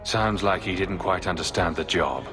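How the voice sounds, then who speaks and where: An actor playing a steady, dryly witty young hero in a fantasy video game, in a matter-of-fact way. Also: male voice, low voice, normal speed, lightly acted. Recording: long reverb, music behind. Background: music.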